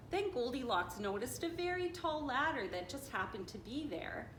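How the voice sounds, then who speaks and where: A woman reading a children's picture book aloud expressively, with dramatic pauses and playful asides. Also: on the high side. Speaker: storybook reader, teacher. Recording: roomy echo, clear background noise.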